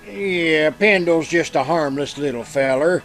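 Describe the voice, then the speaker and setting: Guy acting folksy worried cowboy sidekick, high voice, slow rural american talk, old radio show. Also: a bit bright, faint music behind. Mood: protesting. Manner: folksy, fretful. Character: good-hearted, worrying, folksy frontier deputy's helper.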